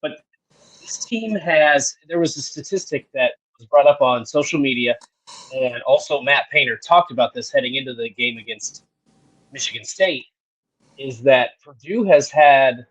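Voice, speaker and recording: A man in his late thirties breaking down sports in a casual podcast chat. His voice sits at an ordinary middle pitch. He explains in a measured way and backs up his points with stats. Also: fairly bright tone, poor audio. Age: 30s. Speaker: sports analyst co-host.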